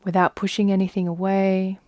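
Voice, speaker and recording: A woman leading a guided meditation in a soft, soothing manner, with long unhurried pauses. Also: mid-range voice, flat delivery, dry recording, clean studio sound. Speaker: yoga nidra guide.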